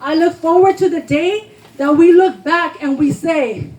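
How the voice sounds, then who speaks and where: A woman's voice: very high voice, measured pace, darkish tone, poor audio. A woman poet giving an impassioned, emphatic spoken word performance at a live reading, declaiming her lines. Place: live poetry reading before a responsive audience.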